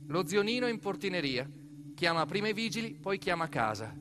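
A man's voice: high-pitched, big pitch swings, a little fast, noisy background. A man performing a dramatic storytelling monologue, with emphatic, building, repeated phrases. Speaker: stage actor and narrator of a documentary monologue.

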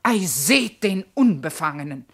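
An actress playing an indignant, sharp-tongued servant wife in a classical verse radio drama, declaiming with scorn. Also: female voice, low voice, very animated, a little slow, slightly rough, heavily acted. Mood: furious, scolding.

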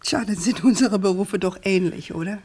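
An actress playing a self-assured, inquisitive American journalist in a German radio crime drama, speaking politely but pointedly. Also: female voice, medium pitch, normal speed, a little mellow, slightly rough, lightly acted. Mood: earnest, explaining.

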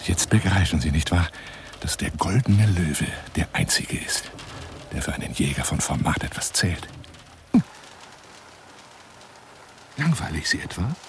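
A man's voice: low-pitched, big pitch swings, a little slow, long pauses, slight background noise, heavily acted. An actor in a radio monologue playing a florid, wheedling lion hunter who courts a woman over the phone in an intimate, theatrical way. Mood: rapt, grandiose, then needy, coaxing. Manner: grandiloquent, wheedling, intimate, dropping into whispers.

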